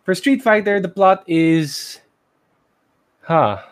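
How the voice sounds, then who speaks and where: A man's voice: sits high, animated, takes it slowly. A man with a Filipino accent co-hosting a casual podcast, explaining things informally and thinking aloud. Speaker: podcast co-host. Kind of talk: casual chat, explaining a list.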